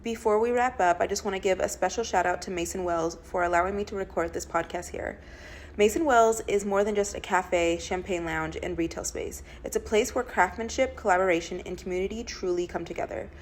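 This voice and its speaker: A woman's voice: mid-range voice, fairly quick, a little hiss or hum. A woman podcast host reading an upbeat scripted thank-you to close the episode. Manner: upbeat, read-out outro.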